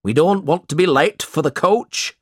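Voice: mid-range voice, moderate pace, slightly rough, no room sound, clean studio sound, voice pushed up, close to an everyday voice. A storybook reader voicing a stingy, blustering middle-aged male schoolmaster who talks in a bossy, falsely generous way.

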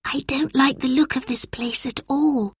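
A woman's voice: high-pitched, fairly even intonation, moderate pace, slightly rough, voice pushed up. A woman voicing a curious, uneasy young girl in a storybook reading.

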